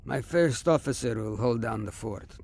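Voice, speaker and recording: A jovial veteran starship captain in a sci-fi audio drama, acted by a man. He is an older man with a creaky, mid-pitched voice and wry, theatrical bluster. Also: lively intonation, normal speed, a little mellow. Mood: dryly businesslike. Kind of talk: science fiction audio drama acting.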